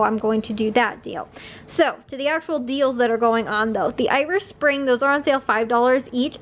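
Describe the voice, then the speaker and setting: A young woman vlogger chatting casually, rambling with lots of fillers and a slightly sniffly voice. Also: a little high. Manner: chatty, rambling with many fillers and little laughs. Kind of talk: casual chat.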